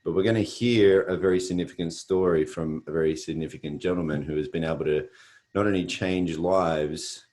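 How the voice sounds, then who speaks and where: Aussie guy with a really deep voice hosting a webinar, earnest, lots of uh's. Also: a little mellow. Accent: Australian. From Australia. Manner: earnest, repetitive, frequent "uh" fillers. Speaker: webinar host. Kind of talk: motivational presentation.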